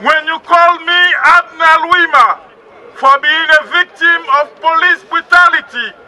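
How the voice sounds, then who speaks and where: A Haitian man with a Haitian accent performs a poem in a high, bright voice and leads the crowd in call-and-response. He draws out his phrases and rises to shouts on the refrains. Crowd and street sounds carry faintly around him. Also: rough recording. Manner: rhythmic, drawn-out phrasing, rising to shouts on the refrains. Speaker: poet and teacher. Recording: outdoor crowd and street noise.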